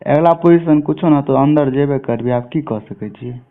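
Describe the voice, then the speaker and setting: A man's voice: a little high, moderate pace. A man with a Bihari accent from Mithila in an informal phone conversation, swearing often in a coarse manner. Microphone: telephone. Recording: tinny narrowband phone call recording.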